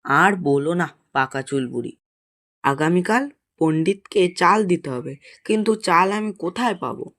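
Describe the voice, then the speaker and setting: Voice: mid-range voice, animated, normal speed, a bit bright, no room sound, studio quality. A performer voicing a cheeky, fearless young schoolboy in a cartoon, exclamatory and plaintive in manner. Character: cheeky fearless schoolboy, reluctant pupil, little brother. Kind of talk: Bengali cartoon voice acting.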